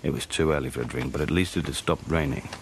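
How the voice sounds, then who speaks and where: An actor playing a wry working-class British intelligence agent, narrating a radio drama in a deadpan, sardonic way. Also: male voice, extremely low pitch, quick pace, slight background noise. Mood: dry, sardonic.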